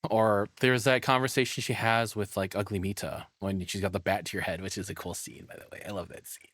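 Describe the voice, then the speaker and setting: A man's voice: lowish voice, steady tone, a little fast, fairly bright tone, no echo, studio quality. A man giving casual, enthusiastic, rambling live reaction commentary as a streamer.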